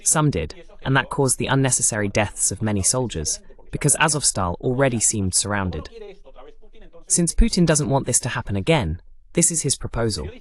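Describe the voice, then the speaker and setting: A man's voice: mid-range voice, big pitch swings, moderate pace. A man hosting a political analysis monologue, explaining emphatically with rhetorical questions and lists. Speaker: host and political commentator.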